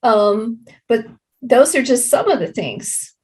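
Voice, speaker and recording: A woman giving explanatory interview answers as a project representative, deliberate and halting, leaning on key words. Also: fairly high pitch, big pitch swings, darkish tone.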